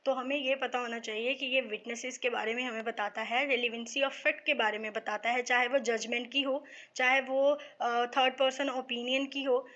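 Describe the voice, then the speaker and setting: A woman's voice: fairly high pitch, fairly even intonation, moderate pace, fairly bright tone. A Pakistani woman giving a methodical explanatory law lecture for exam students, often checking in with a short tag phrase. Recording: dull lo-fi microphone sound.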